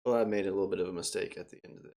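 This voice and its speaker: A man speaking casually and a little haltingly as a player giving spoken commands in a game demo. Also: sits low, fairly even intonation, vocal fry, warm tone. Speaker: player demonstrating a voice assistant.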